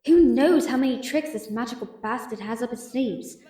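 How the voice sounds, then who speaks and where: A woman's voice: on the high side, normal speed, warm tone, lightly acted. Woman playing sardonic captive merchant, echoing and distant. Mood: anxious, exasperated. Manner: sarcastic, muttering to herself. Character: captive female merchant, sardonic, anxious, quick-witted. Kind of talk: fantasy audio drama inner monologue. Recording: roomy, echoing, off-mic sound.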